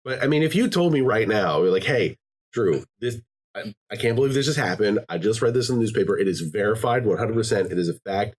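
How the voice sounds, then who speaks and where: A man hosting a casual podcast chat, telling jokey stories in an animated way. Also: on the low side, quick pace, slightly rough. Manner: animated, joking storyteller. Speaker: podcast host.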